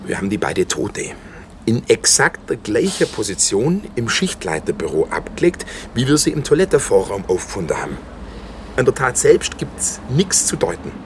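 An actor playing an evasive, officious slaughterhouse manager in a naturalistic radio drama, talking in formal, defensive corporate phrases. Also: male voice, fairly low pitch, big pitch swings, moderate pace, a little hiss or hum. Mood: defensive, firm.

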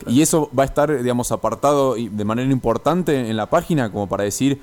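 Hesitant Argentine man, medium-pitched, asking questions. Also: fast, darkish tone. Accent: Rioplatense Argentine. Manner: hesitant, groping for wording. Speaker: radio interviewer. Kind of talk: conversational radio interview.

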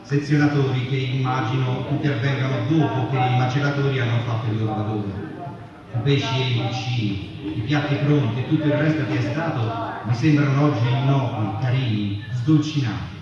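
A man giving a deliberate, dramatic literary reading of a first-person account, with short phrases and weighty pauses. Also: mid-range voice. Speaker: reader at a literary performance. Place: live festival performance in a large reverberant space. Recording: very echoey hall, distant, muffled, music over it. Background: loud music.

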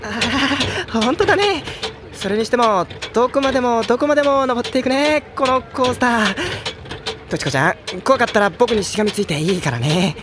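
A woman voicing an excitable, timid, lovestruck young boy in an anime audio drama, with a boyish voice and a melodramatic delivery. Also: on the high side, fairly quick, background noise, natural voice. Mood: nervous. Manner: melodramatic, swinging between chatter, wails and sighs. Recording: music playing loudly behind.

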